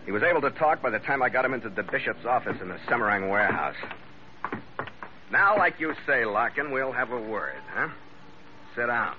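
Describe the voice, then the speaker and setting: Actor as hard-boiled American skipper, muffled recording. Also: male voice, mid-range voice, sing-song, fairly quick, natural voice. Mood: menacing. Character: tough, hard-boiled American sea captain hero. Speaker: lead actor narrating the adventure. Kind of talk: 1940s-50s radio adventure acting. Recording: muffled, very noisy vintage radio recording.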